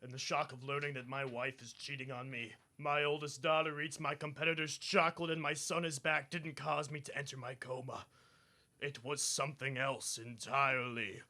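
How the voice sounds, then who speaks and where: A man playing a stern, aggrieved father in a radio drama, speaking deliberately and melodramatically. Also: medium pitch, flat delivery, pushed and bright. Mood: grave, aggrieved. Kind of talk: campus radio serial drama acting.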